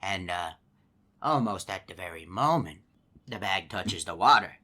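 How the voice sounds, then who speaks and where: A man's voice: deep voice, lively intonation, measured pace, bright, forceful tone, exaggerated voice. A man voicing a chatty male hippo animatronic who tells a long folksy story, rambling with lots of uh and you know. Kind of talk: game character monologue.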